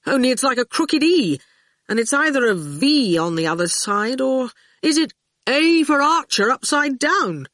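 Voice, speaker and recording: A woman's voice: high voice, big pitch swings, measured pace, a bit bright, dry recording, studio quality, voice pushed up, full character voice. A woman doing a dryly amused father.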